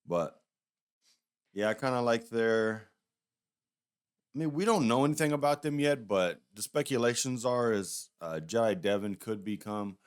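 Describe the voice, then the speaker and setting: A man's voice: on the low side, clean tone. A man giving a casual, unscripted review in a rambling, conversational way, often hedging as he goes. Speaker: YouTube reviewer.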